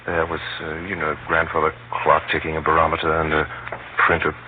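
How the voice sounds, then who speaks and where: An actor playing a determined but self-doubting adventurer, narrating in a confiding, dramatic, conversational 1930s radio style. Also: male voice, rumbling low, monotone, a little fast. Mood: confiding narration. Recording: muffled, scratchy 1930s radio broadcast with hum.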